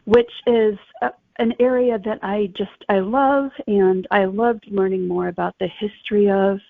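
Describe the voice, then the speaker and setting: A woman's voice: medium pitch, fairly even intonation, a little slow, gravelly, no echo. A woman author being interviewed, explaining things conversationally over a thin phone-like connection. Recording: thin phone-like, dry sound.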